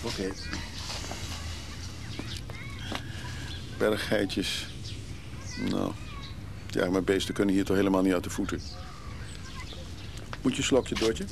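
A man's voice: low-pitched, steady tone, a little slow, long pauses, slight background noise. An actor playing a caring, easygoing man who accompanies a widow, in a naturalistic radio drama. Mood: gentle, concerned.

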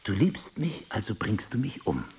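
Husky, sardonic husband. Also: male voice, on the low side, huge pitch swings, normal speed, a little mellow, exaggerated voice. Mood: dryly bitter. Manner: insistent, pointed questioning. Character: probing, sardonic, exasperated husband of middle age. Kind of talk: intimate stage-play dialogue.

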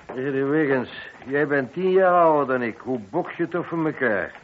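An actor playing a shrewd, dry and somewhat testy police inspector in a radio detective play. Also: male voice, on the high side, expressive, normal speed, lightly acted. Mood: dry, wry. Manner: dry, probing, curt. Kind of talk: radio drama acting. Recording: hissy, dull old radio recording.

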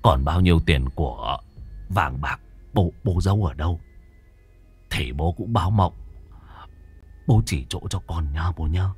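A man voicing a greedy son who murmurs a prayer to his dead father in a low, hushed whisper. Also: a little slow, long pauses, husky, soft background music, heavily acted. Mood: pleading murmur. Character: grieving greedy son praying at an altar.

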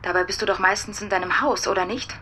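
An actress playing a guarded, reproachful young woman in a German radio drama, speaking in a measured, questioning way. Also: mid-range voice, bright, forceful tone, a little hiss or hum, natural voice.

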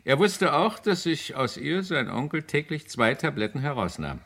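An actor playing a confident British police inspector in a German radio crime drama, explaining methodically with deliberate emphasis. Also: male voice, fairly high pitch, very animated, gravelly, fairly bright tone, heavily acted. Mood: assured, explanatory.

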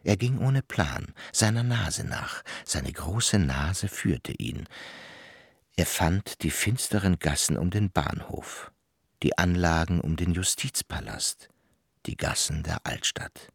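A man narrating a literary radio play in a husky voice with deliberate, measured phrasing. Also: sits low, expressive, no room sound, studio quality.

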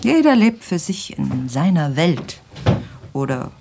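A woman's voice: deep voice, sing-song, slow pace, vocal fry, music in the background, full character voice. An actress playing a wounded, disdainful well-off older wife and mother in a radio drama, speaking in a brittle way. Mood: reproachful. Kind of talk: naturalistic radio drama acting.

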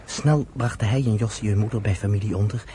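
A sombre radio-play storyteller acted by a man, measured and solemn in delivery. The voice is fairly low and very mellow. The old recording carries faint noise. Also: natural voice. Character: sombre male storyteller recounting a family's grim history. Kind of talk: dramatic scripted narration.

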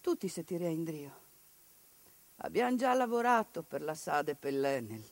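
A woman delivering an impassioned theatrical monologue, building rhetorical lists and dropping into hushed bitterness. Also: deep voice, sing-song, normal speed, no echo. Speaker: stage actress.

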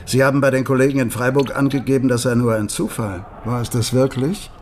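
An actor playing a polite, persistent police detective in a radio crime drama, probing in slightly hesitant officialese. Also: male voice, medium pitch, fairly even intonation, brisk, darkish tone, slight background noise, close to an everyday voice. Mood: insinuating, persistent.

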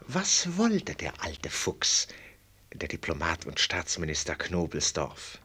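Sardonic, theatrical commentator, very lively melody. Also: male voice, sits low, takes it slowly, husky, exaggerated voice. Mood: probing, rhetorical. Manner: ironic, theatrical, rhetorical, teasing. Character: sardonic knowing male commentator needling a prince. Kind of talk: German radio play acting.

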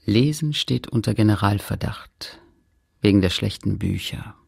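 A man with a husky, gravelly voice narrating a literary radio play in a measured, read-aloud manner. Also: lowish voice, darkish tone, full character voice.

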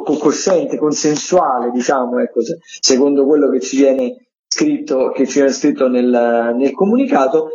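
Hesitant man critic, dark, echoey line. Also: mid-range voice. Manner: hesitant, many fillers and restarts. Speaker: theatre critic. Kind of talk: radio theatre agenda. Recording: dull echoey remote line.

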